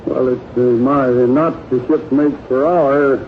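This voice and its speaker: A man's voice: mid-range voice, steady tone, measured pace. A man giving unscripted answers as a panelist on a 1940s radio quiz show. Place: radio studio. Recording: noisy narrow-band 1940s radio recording.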